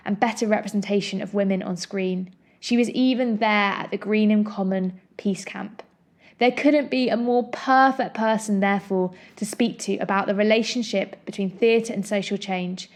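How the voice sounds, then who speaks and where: A woman's voice: mid-range voice, darkish tone. A woman speaking as a podcast host and interviewer. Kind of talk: scripted introduction, then conversational interview.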